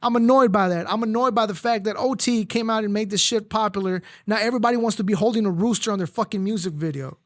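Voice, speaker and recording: A man's voice: high voice, quick pace, few pauses, no echo, clean studio sound. Blunt, profane Mexican-born man hosting. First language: Spanish. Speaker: podcast host. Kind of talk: casual chat.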